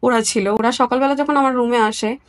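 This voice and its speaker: A woman from Bangladesh giving a personal account as an interview guest, candid and somewhat halting. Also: a little high, steady tone.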